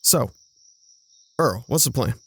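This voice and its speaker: Man acting an exasperated, gravelly handyman brother. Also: mid-range voice, animated, slow, over loud music. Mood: patient, dry. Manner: dry, exasperated, swooping emphasis. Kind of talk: audio drama acting.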